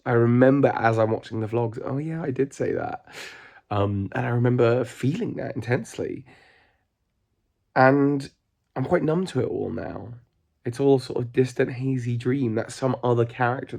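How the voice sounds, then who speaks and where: A man's voice: fairly low pitch, gravelly, darkish tone. A man in his thirties with a slight cold and a scratchy voice, thinking aloud in a candid home vlog from his front room. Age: 30s. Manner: thoughtful, candid, musing with many ums. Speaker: vlogger. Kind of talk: reflective casual vlog.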